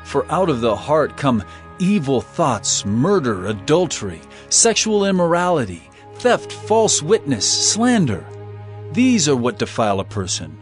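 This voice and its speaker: A man's voice: mid-range voice, very animated, measured pace, faint music behind. An actor playing an authoritative, reproving male teacher in a dramatized scripture reading, with emphatic, declamatory delivery. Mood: earnest teaching.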